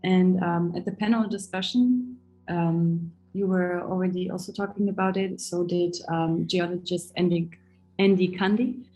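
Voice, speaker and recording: A woman's voice: on the low side, fairly even intonation, normal speed, mellow, poor audio. Earnest woman from Germany asking questions. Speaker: student interviewer. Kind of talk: reading prepared interview questions.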